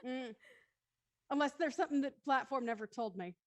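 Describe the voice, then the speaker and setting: A woman with a fairly high voice narrates a script in a dry, close recording. She reads stage directions and drops into casual host talk. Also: normal speed, no room sound.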